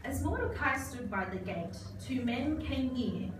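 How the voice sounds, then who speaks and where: A woman narrates a puppet show in scripted rhyme. Her voice is fairly high and sounds distant in a very echoey hall, with clear background noise. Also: expressive, unhurried.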